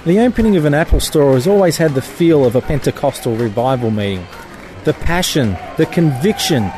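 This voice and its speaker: A man reading his own satirical column in a wry, mock-solemn way. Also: fairly high pitch. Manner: wry, mock-biblical delivery. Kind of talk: satirical scripted narration. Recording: music bed under the voice.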